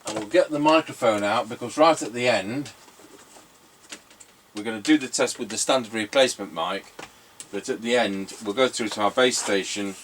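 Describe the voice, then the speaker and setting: A man's voice: on the low side, moderate pace, a bit bright, slight background noise. A man giving a casual hands-on demonstration as a radio repairer testing a set.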